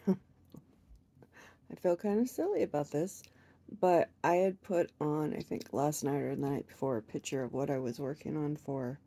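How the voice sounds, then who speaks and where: A woman's voice: deep voice, mellow. Stuffed-up woman vlogging with a cold. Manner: rambling, self-deprecating with frequent laughs. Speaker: crochet vlogger. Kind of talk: casual chat to camera.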